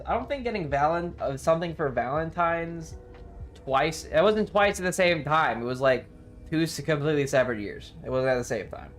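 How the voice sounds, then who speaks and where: A man's voice: fairly high pitch, fairly bright tone. A man chatting casually on a game livestream, with slangy, sweary banter. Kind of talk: casual game stream chat.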